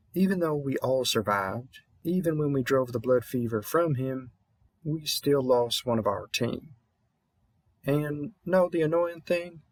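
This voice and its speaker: A man's voice: mid-range voice, dry recording. A man playing a weary, kindly veteran vampire hunter in a roleplay audio drama, with a Southern US accent and a rambling, slightly mumbled, reassuring manner. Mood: somber, earnest.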